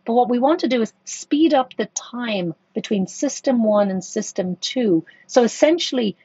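Woman, executive guest, husky mid voice, dull dry podcast sound, thoughtful and hesitant. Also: on the high side, lively intonation, moderate pace, dark tone, no room sound. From Ireland. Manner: thoughtful, many restarts and fillers. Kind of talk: conversational podcast interview.

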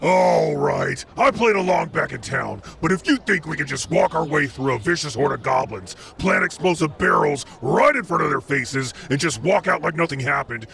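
Casting: man as grumpy cartoon axe fighter, gravel in the voice, blunt and fed up. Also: a little high, expressive, normal speed, few pauses, fairly bright tone. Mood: exasperated. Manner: blunt, exasperated. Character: gruff, grumpy, axe-loving male party boss.

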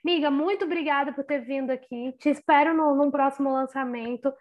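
Woman podcast host, high voice, slightly muffled recording, effusive and slangy. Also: steady tone, a little fast. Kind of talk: casual chat.

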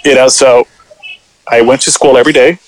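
A man in his forties, originally from Puerto Rico, talks as a podcast guest. He tells his story in a loose, meandering way, full of "you know" fillers. His voice sits at an ordinary middle pitch. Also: steady tone, fairly quick. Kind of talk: casual storytelling chat.

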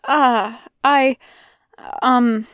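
A woman voicing a fussy, excitable male angel bookseller who chatters eagerly and gets flustered. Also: fairly high pitch, drawn-out words, smooth, no room sound.

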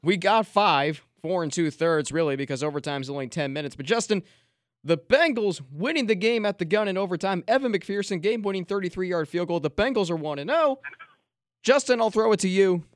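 A man hosting a sports podcast from a studio, recapping a football game with emphatic excitement. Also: high voice, a little fast, no echo. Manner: excited, emphatic, addresses co-host by name. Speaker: podcast host. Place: radio studio.

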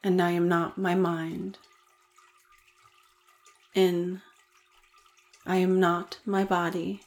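A woman leading a guided meditation in a soothing, deliberate manner with gentle pauses. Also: fairly low pitch, little pitch movement.

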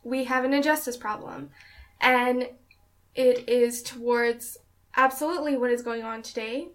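A woman hosting a podcast over a remote call, talking earnestly and at a measured pace. Her voice sits a little on the high side for a woman. She is from Canada. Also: fairly bright tone. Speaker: podcast host. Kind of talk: casual remote podcast chat.

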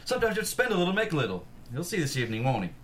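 A pompous, imperious railroad tycoon, played by a man at a table read, talking quickly with lively swings in pitch over clear background noise. He is condescending and rather high-voiced. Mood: self-satisfied, commanding. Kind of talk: actor at a script table read.

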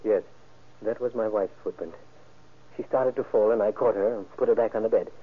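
An actor playing a shaken, wounded husband in an old-time radio crime drama, speaking haltingly and earnestly. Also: male voice, on the low side, breathy edge. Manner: halting, earnest witness account. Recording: muffled, band-limited vintage radio recording.

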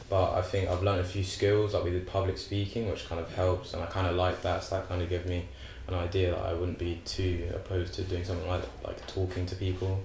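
A man's voice: sits low, a little mellow. A sixteen-year-old male, chatting casually as an interviewee. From West London. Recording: echoey room, faint noise.

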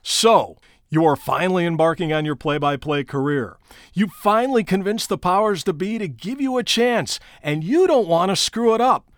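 An American man in middle age presents a coaching podcast with a sportscaster's emphatic delivery. His voice sits a little high and moves with lots of melodic variety. The sound is very dry, with no room around it, and he addresses the listener directly. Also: lively intonation, normal speed, no echo. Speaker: podcast host and sports play-by-play broadcaster. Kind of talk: prepared instructional podcast narration with personal anecdotes.

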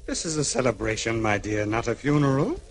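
An actor playing a suave, flattering prosecutor in an old radio drama, smug and unctuous, hemming and hawing. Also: male voice, medium pitch, fairly quick, lightly acted. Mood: placating. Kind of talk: 1940s-50s radio acting. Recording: faintly noisy old radio recording.

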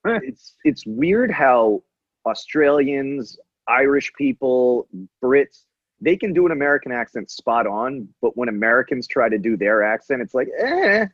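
A man's voice: mid-range voice, big pitch swings, unhurried, no echo, dull audio. A man co-hosting a podcast, chatting casually in a laid-back, wry, musing way. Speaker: podcast co-host. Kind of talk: casual comedic chat.

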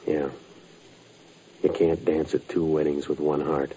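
Low, deadpan hard-boiled American jazzman. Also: unhurried. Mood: subdued. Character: tough, weary, laconic jazzman caught up in crime. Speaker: lead actor and narrator of a radio drama. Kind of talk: 1950s radio crime drama acting. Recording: noisy, muffled old radio recording.

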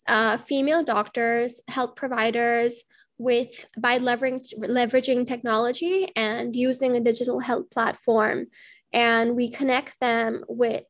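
Earnest young woman from Pakistan. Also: a little high. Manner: earnest, many "um" pauses. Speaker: guest. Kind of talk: casual interview answers.

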